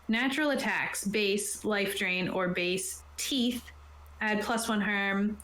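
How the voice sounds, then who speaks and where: A woman's voice: mid-range voice, takes it slowly, fairly bright tone. A woman casually explaining game rules on a tabletop stream, reading aloud and then putting it in informal plain terms. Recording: roomy, echoey sound.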